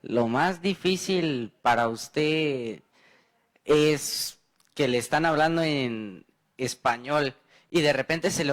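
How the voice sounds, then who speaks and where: A man's voice: mid-range voice, a bit bright. A man in the audience, chatting and telling a story about himself. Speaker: audience member sharing an anecdote.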